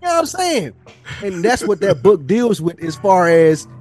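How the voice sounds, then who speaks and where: A man's voice: sits high, sing-song, moderate pace, a little mellow. A man in a casual podcast discussion, swearing freely and acting out imagined exchanges as he tells his point. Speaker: podcast speaker.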